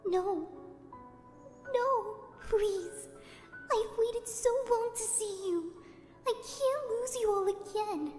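Timid young female pony, very high, slow and pleading, for a dark audio story. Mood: heartbroken. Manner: tender, pleading, halting. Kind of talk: dramatic audio story acting. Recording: long echoing reverb, music behind.

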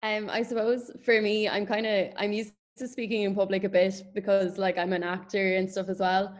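A woman's voice: mid-range voice, little pitch movement, normal speed. A young woman in her twenties, an actor, sharing casually on a video call, hedging with likes and ums. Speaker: actor, festival participant.

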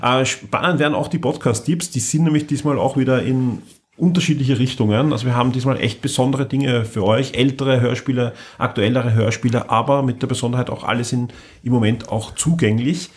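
A man's voice: medium pitch, brisk. A man, chatting casually as a podcast host and often repeating words as he starts sentences. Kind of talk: casual chat.

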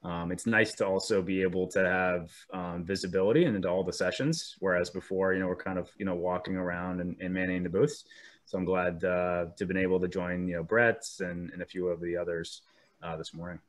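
A man's voice: sits low, steady tone, brisk. A man with a cold, sounding a bit sniffly, presenting a webinar over a video call with frequent ums and restarts. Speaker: 3D printing specialist presenting a webinar.

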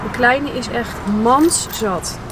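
High-voiced chatty woman vlogging. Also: takes it slowly. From North Holland, Netherlands. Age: 30s. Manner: chatty, thinking aloud. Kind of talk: casual vlog chat. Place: out in town and inside a moving car. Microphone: vlog camera, handheld or dash-mounted. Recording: noisy car and street sound.

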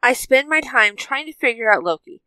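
A woman's voice: sits high, expressive, moderate pace, sharp tone, no room sound. A woman playing a weary, wistful young-hero ranger in an audio drama. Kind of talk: audio drama character acting.

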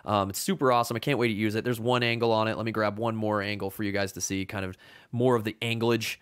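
A man in his thirties co-hosting a casual board game live stream from a home room on a webcam, chatty and excitable, laughing often. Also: fairly low pitch, fast, clean tone. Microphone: webcam video call.

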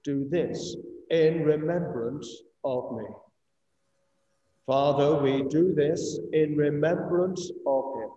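A middle-aged English man recites a communion prayer as a priest, solemn and deliberate. His voice is fairly high and mellow. It rings through a heavily echoing church. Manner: solemn, measured, deliberate phrasing. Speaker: priest leading communion service. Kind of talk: liturgical recitation of a eucharistic prayer. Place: inside a church, streamed over video call. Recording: echoey church, distant mic, muffled video-call sound.

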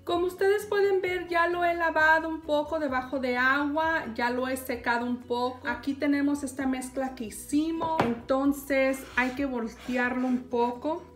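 High-voiced woman. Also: unhurried, hardly any pauses, soft background music. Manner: calm step-by-step instruction, addressing viewers. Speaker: cooking show host. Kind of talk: home cooking demonstration.

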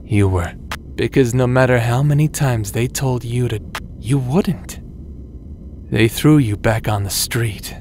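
Slow, taunting villain played by a man. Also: medium pitch, darkish tone, a little hiss or hum, natural voice. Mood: mocking. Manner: drawn-out, mocking, theatrical delivery. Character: taunting male villain, manipulative, mocking, coldly friendly tempter. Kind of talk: dramatic audio roleplay acting.

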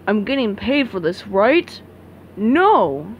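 Woman doing a deadpan, sour cartoon squid. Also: mid-range voice, slow pace, highs cut off, a little hiss or hum, voice pushed down. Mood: grumbling. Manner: deadpan, dry sarcasm. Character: sour, sarcastic, weary adult male squid. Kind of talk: amateur comic dub, cartoon voice acting.

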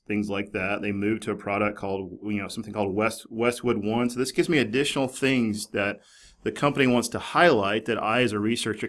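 A man in his forties with a fairly low voice talks through an instructional screencast. He explains things informally and conversationally, like a presenter helping students one step at a time. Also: a bit bright. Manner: conversational, informal, hedging with "basically" and "kinda". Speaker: university librarian presenter. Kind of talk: screencast tutorial, casual explanatory talk. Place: small office, indoors.

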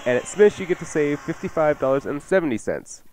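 A man's voice: fairly high pitch, lively intonation, fairly quick, darkish tone, slight background noise, low-quality recording. A man co-hosting a live radio show with casual, folksy, plainspoken chat. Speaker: radio cooking show co-host and chef. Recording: music bed behind 1990s radio broadcast. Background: music.

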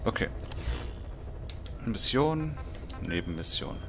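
A man's voice: on the low side, sing-song, slow, creaky, music in the background. A man hosting a let's play with casual, rambling commentary, half talking to himself. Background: video game sounds.

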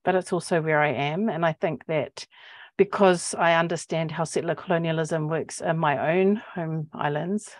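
A woman with a New Zealand accent speaks in a low register, with very little room sound. She is reflective and academic in style, often stopping and restarting as she works out a thought. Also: steady tone, dry recording, clean studio sound. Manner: thoughtful, halting, searching for words. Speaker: poet and academic. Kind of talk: reflective panel talk. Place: book-lined office, indoors. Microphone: earphone mic on video call.

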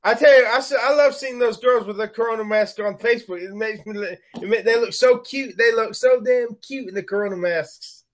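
High-voiced American man on a webcam. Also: fairly quick, hardly any pauses. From United States. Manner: chatty, thanks viewers for likes between remarks. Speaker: livestream host. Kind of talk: casual live broadcast chat. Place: bedroom at night, indoors.

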